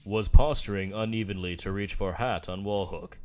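Man as a low, clipped, solemn businessman. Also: steady tone, moderate pace, soft background music, lightly acted. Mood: grave, urgent. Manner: clipped, telegraphic, deadly serious. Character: earnest businessman dictating clipped, solemn text messages. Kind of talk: web comic voice dub.

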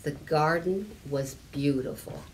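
A woman in her eighties reading a children's storybook aloud, haltingly, now and then repeating a word. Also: sits low, noisy background. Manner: halting, stumbling and repeating words. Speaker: story time reader. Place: library sitting area indoors.

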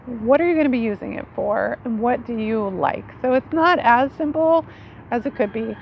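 A woman's voice: fairly high pitch, animated, normal speed, vocal fry. A woman in her forties giving an informal, conversational explainer to camera outdoors, like a teacher showing gear. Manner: informal, conversational, often seeking agreement with "right". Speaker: foraging teacher presenting knives. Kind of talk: casual explainer talk to camera. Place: outdoors under a tree in a leafy yard. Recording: dull sound with outdoor ambient noise.

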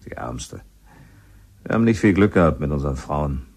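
An actor playing a middle-aged, gossipy widowed helper at a mountain lodge, chatting in a rambling, resigned way in a radio play. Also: male voice, very deep voice, expressive, moderate pace, a little mellow, slightly rough, heavily acted. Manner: chatty, rambling, plain-spoken. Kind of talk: radio play acting, conversational.

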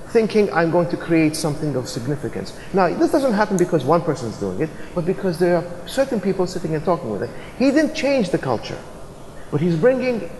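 A middle-aged man speaking on a business conference panel, earnest and halting with fillers. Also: fairly high pitch, darkish tone, long reverb tail, slight background noise, low-quality recording. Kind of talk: panel discussion. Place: large conference hall stage.

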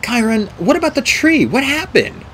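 Man putting on an urgent, high-pitched young girl. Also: huge pitch swings, normal speed, fairly bright tone, slight background noise, heavily acted. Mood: anxious. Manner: urgent, emotional. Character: anxious, passionate young girl, loyal and indignant. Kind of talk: audiobook character dialogue.

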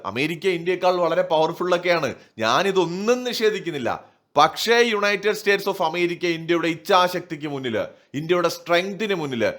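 A man's voice: high voice, a bit bright. A man giving opinionated political commentary in an emphatic, rhetorical manner. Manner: emphatic, rhetorical, asking and answering own questions. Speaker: online news commentator.

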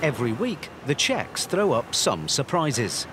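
A man's voice: mid-range voice, very animated, unhurried, noisy background. A man delivering scripted narration for a documentary. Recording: music under the voice. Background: background music.

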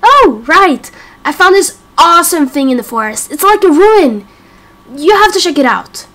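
Girl playing a cocky 7 yr old boy, high eager voice, teasing, game roleplay. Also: sing-song, moderate pace, fairly bright tone, heavily acted. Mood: excited. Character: brash, excitable seven-year-old boy, cocky friend. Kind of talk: amateur game roleplay acting.

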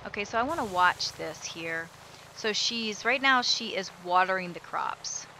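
A woman's voice: medium pitch, expressive, unhurried, pushed and bright, slight background noise, rough recording. A woman casually commenting as she plays a life-simulation game, thinking aloud and giving her characters directions. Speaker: let's play host.

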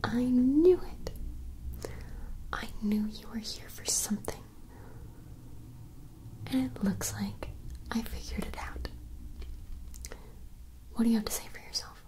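A young woman playing a fantasy woman who is puzzled by modern things, whispering softly into a close mic with dramatic, playful reactions. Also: fairly high pitch, slow pace, long pauses, rough recording. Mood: teasing. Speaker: ASMR roleplayer. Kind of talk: ASMR roleplay.

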